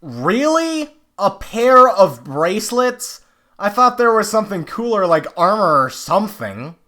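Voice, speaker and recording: A man playing a cocky, excitable young creature scavenger in an animated comic dub, talking to himself and exclaiming freely. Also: sits high, a little slow, exaggerated voice. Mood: let down. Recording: music behind the voice.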